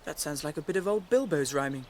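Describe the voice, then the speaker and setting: High-voiced, cheeky young English hobbit played by a man. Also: expressive, quick pace, a little hiss or hum, natural voice. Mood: casual. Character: cheeky young gentleman hobbit, lazy and teasing. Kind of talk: radio drama acting.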